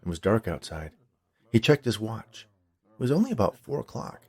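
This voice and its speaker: A man's voice: lowish voice, very animated, normal speed, husky. A man reading a scripted short story aloud as its narrator.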